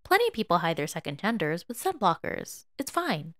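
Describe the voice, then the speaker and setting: A woman playing a gossipy, excitable but kind young student friend in an audio drama, chatty and eager. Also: on the low side, fairly quick. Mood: concerned, reassuring.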